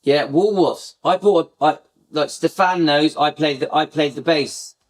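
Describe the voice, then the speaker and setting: A man's voice: a little high, measured pace. A middle-aged man hosting a casual live fan chat, talking to viewers in a rambling, reminiscing way with many restarts. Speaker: fan channel host.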